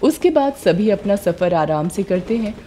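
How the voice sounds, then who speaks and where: A woman reading scripted narration for an animated witch story. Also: mid-range voice, moderate pace, a little hiss or hum.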